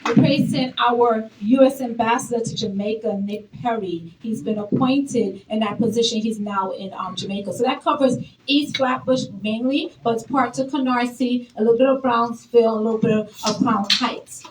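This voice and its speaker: Lively woman speaking in an echoey hall. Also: on the high side, normal speed. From Brooklyn, New York. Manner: lively, energetic, engaging the audience. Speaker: elected official. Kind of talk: public speech at an event. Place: event hall. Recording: roomy, distant, noisy event hall.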